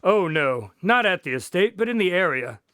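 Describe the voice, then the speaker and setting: A man playing a courteous doctor and investigator in a radio drama, with polite, formal, measured phrasing. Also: high-pitched, sing-song, pushed and bright, clean tone, no room sound, clean studio sound, full character voice. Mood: composed storytelling. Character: courteous male doctor and investigator recounting a case.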